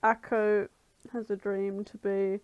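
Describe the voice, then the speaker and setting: A woman's voice: mid-range voice, flat delivery, slow, clear, resonant tone, no room sound, lo-fi sound. A woman with an English accent co-hosting a podcast, playful and sweary as she banters and reads out fan fiction summaries. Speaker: podcast co-host.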